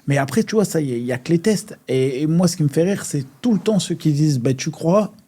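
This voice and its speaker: A man's voice: fairly high pitch, animated, fast, warm tone, slightly rough. A man, a fighter from France, talking casually and emphatically on a podcast, with frequent fillers and restarts. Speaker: MMA fighter guest.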